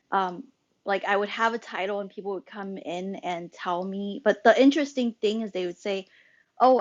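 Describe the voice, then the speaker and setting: A woman hosting a casual live audio chat, talking in a halting, rambling way as she thinks aloud. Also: medium pitch. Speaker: host and moderator of a live social audio room. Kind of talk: casual unscripted group chat. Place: live social audio app call. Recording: dull lo-fi online audio-chat connection.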